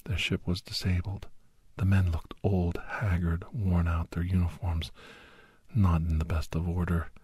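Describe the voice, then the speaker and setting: A man playing a grave, anxious doctor and old soldier telling a battle story in hushed, halting, breathy ASMR narration that often drops into whispers. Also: deep voice, little pitch movement, dry recording, full character voice. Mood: tense, deliberate. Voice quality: breathy, husky. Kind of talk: ASMR first-person dramatic story narration.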